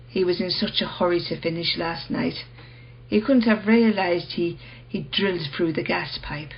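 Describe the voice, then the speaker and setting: An actress playing a calm blind woman who guides a dying soul in a radio play, measured, soothing and knowing. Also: on the low side, dull audio.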